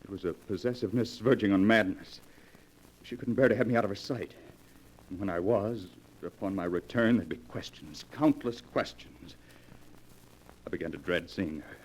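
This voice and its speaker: Actor as a low-voiced, tormented husband, vintage radio. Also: male voice, normal speed, darkish tone, natural voice. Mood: haunted, brooding. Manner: brooding, earnest, melodramatic. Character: tormented, exasperated husband recounting his nightmare marriage. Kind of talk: 1940s radio melodrama acting. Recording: noisy vintage 1940s radio recording.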